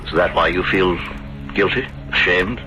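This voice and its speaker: Middle-aged male schemer, courtly and theatrical, forceful edge, 1970s radio drama, dull old broadcast with music. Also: sits low, moderate pace. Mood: cool, guarded. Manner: theatrical, courtly, hesitating for effect. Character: smooth, scheming middle-aged Italian gentleman hiding a secret.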